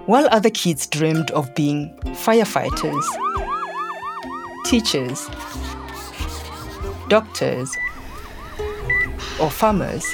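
A woman with a fairly low voice narrates a children's story over clear background music. Her delivery is scripted and measured, with deliberate pauses between phrases. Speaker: podcast narrator.

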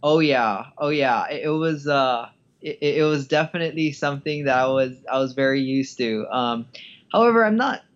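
A man's voice: medium pitch, animated, moderate pace. Dull lo-fi recording of a hesitant man. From Hawaii. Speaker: podcast guest, professional golfer. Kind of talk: casual interview chat.

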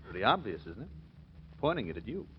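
Scheming nephew, old muffled radio recording. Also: male voice, lowish voice, big pitch swings, quick pace, a little hiss or hum. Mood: coldly smug. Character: scheming, cold-blooded nephew turning murderer on his uncle. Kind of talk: 1940s radio acting.

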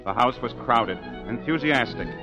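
Male actor as wistful opera-singer storyteller, medium pitch, vintage radio drama, music underneath. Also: lively intonation, moderate pace, lightly acted. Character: wistful opera singer recounting dark past events. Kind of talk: 1940s radio drama narration. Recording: old 1940s radio broadcast.

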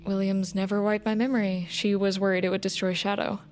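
An actress playing a spirited, emotional high-school girl in a dramatic audio fiction, with music behind her voice. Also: little pitch movement, a little fast, darkish tone, slight background noise, natural voice. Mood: awkward, friendly. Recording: music under the voice.

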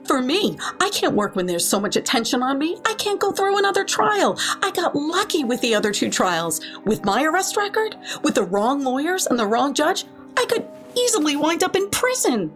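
A woman's voice: high-pitched, sing-song, normal speed, faint music behind. A woman playing a defensive, rattled professional caregiver in an audio drama, earnest and emphatic. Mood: anxious, apologetic. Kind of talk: naturalistic audio drama acting.

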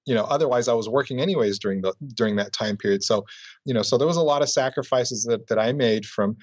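A man being interviewed about his business career, talking reflectively and filling pauses with "you know.". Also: medium pitch, dry recording. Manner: reflective, repeats "you know" often, restarts phrases. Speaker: entrepreneur guest. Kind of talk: casual interview answers.

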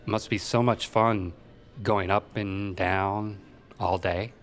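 Shy naive new dispatcher, stammers a lot, lowish voice, bit of noise behind. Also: male voice, fairly even intonation, slow pace. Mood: naive wonder. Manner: stammering, hesitant. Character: timid, naive, eager new male 911 dispatcher. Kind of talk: fiction podcast acting.

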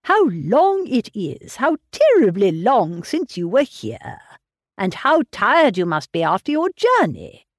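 A woman reading aloud voices a fussy, anxious elderly gentleman fretting over his grown daughter. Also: mid-range voice, wildly swooping pitch, takes it slowly, dry recording, clean studio sound, exaggerated voice. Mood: fretful. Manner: fretful, coddling. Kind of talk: audiobook character reading.

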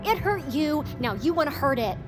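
An actress in an audio drama playing a feisty, impatient crew member, blunt and urgent in manner. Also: female voice, sits high, big pitch swings, moderate pace. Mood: angry, accusing. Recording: loud music bed. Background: loud dramatic music.

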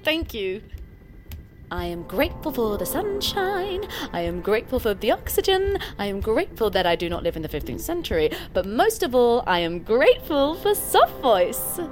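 Chirpy, people-pleasing young woman, high and bouncy, over music. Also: big pitch swings, normal speed. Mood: forced cheer. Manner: chirpy, breathy, sing-song, forced cheer. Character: relentlessly cheerful young woman Lydia, eager to please. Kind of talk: audio drama acting.

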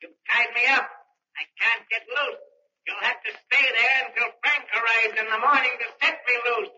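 A man's voice: very high-pitched, fairly even intonation, normal speed, sharp tone, exaggerated voice. An actor playing a vengeful, half-mad rich old man in a radio drama, speaking in a precise, taunting way. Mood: mad glee. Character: vengeful elderly rich man gloating over trapped crooks, half-mad. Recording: roomy, dull, noisy old radio recording.

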